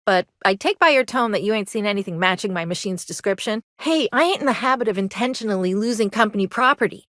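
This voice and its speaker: An actress playing an anxious, earnest mechanic in a video game, with a folksy Southern US drawl and an apologetic manner. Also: female voice, mid-range voice, expressive, quick pace, few pauses, fairly bright tone, dry recording, studio quality, natural voice. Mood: earnest, concerned. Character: anxious, earnest young colony mechanic woman fond of her robot.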